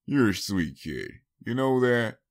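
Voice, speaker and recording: A man's voice: on the low side, sing-song, takes it slowly, voice pushed up, full character voice. A man voicing a good-natured, fatherly older stallion with a folksy, easygoing, slightly boastful manner in a storybook reading. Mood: fond.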